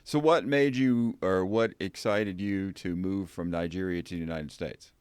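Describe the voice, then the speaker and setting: A man hosts an interview over a video call, asking questions with a hesitant, self-correcting manner. His voice sits low and sounds smooth and resonant. The recording is dry, with little room sound. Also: normal speed, darkish tone, no room sound. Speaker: podcast host.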